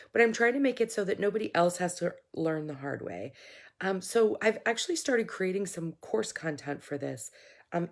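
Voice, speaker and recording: Woman, hoarse, lowish gravelly voice; midwife host, casual frank live-stream talk. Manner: chatty, frank, coaching tone with fillers.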